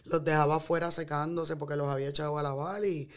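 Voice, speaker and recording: A woman's voice: sits low, little pitch movement, fast. Blunt woman, casual swearing. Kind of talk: casual chat.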